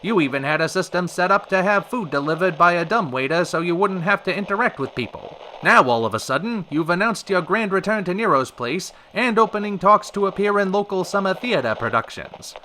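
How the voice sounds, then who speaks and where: An actor playing a showy radio host of a retro variety hour, with polished, theatrical announcer patter in an old-time radio style. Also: male voice, high voice, animated, brisk, few pauses, bright, forceful tone, a little hiss or hum, low-quality recording. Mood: concerned, probing. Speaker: radio show host and interviewer.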